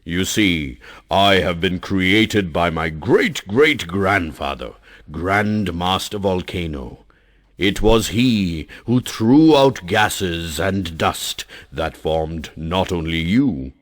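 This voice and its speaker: A man voicing a stern, angry old male mountain who talks in a scolding, booming way. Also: expressive, slow.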